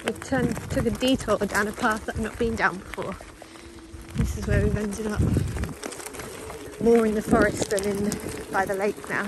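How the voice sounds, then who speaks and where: A woman chats casually to the camera while riding an electric bike along a forest track. She breaks into a high, coaxing sing-song whenever she calls her dogs. Steady wind and road noise sit clearly under her voice in this outdoor recording. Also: normal speed. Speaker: vlogger.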